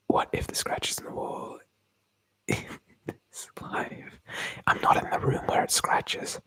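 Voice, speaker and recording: A man's voice: slow, long pauses, a bit bright, dry recording, poor audio. A man whispering through an ASMR livestream, rambling and joking as he reads viewer comments aloud. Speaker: ASMR streamer.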